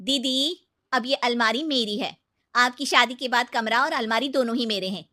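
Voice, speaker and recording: Storybook sister-in-law, acted by a woman: young, firm and pointed, with a sharp high voice. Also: normal speed. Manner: pointed, emphatic, instructive. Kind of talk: animated Hindi moral story dialogue.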